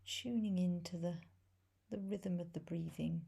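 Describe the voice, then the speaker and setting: A woman leading a guided meditation as a teacher, speaking softly and gently in a breathy voice with long pauses between phrases. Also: fairly low pitch, steady tone. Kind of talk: guided body scan meditation.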